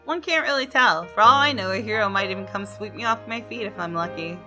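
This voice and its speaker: A woman's voice: mid-range voice, fast. A woman reading visual novel text aloud and reacting casually and expressively as she plays. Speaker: gamer doing a visual novel let's play. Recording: music under the voice.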